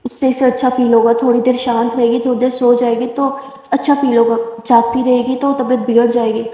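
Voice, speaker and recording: A woman voicing a male doctor who insists calmly, in an echoey room. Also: fairly high pitch, fairly quick, lightly acted. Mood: insistent. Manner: reassuring, insistent. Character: man, a calm insistent doctor. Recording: echoey room with long reverb.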